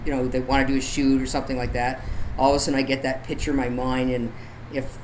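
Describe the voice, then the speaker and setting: A man's voice: mid-range voice, monotone, quick pace, low-quality recording. A man with a mid-range voice chats as a guest. He rambles along in an easygoing, informal way. There is faint background noise around him. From Canada. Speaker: guest, local artist and photographer. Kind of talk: casual interview chat.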